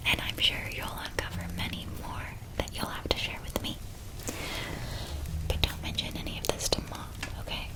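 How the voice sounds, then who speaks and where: A woman playing a caring, playful elder witch sister in an ASMR roleplay, speaking in a soft, breathy whisper with a gentle, reassuring manner. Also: a little high, poor audio.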